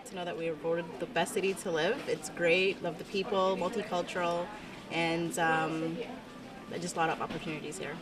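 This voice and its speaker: Flat-voiced woman with music behind. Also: on the low side, normal speed. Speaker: interviewee. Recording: distant mic with music.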